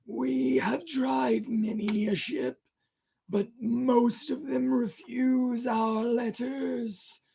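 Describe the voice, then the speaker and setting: A reader voicing a weary ghostly sailor who pleads in a high, strained, sobbing voice. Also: fairly even intonation, extremely slow, vocal fry, slight background noise, heavily acted. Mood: pleading. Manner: drawn out, crying and shouting by turns.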